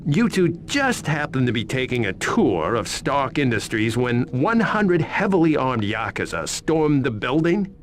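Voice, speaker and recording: An actor playing a skeptical, wry police interrogator in a video game, questioning in an incredulous, probing way. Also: male voice, medium pitch, sing-song, unhurried, few pauses, slight background noise. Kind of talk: video game cutscene acting.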